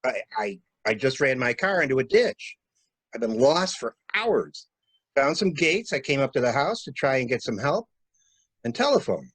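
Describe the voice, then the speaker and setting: A man acting a brisk, take-charge stranded traveler in a dramatic mystery reading. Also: medium pitch, big pitch swings, fairly bright tone, husky, dry recording. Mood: shaken. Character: male stranded traveler, brisk, commanding, takes charge at crime scene. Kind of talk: dramatic reading of a stage-style murder mystery.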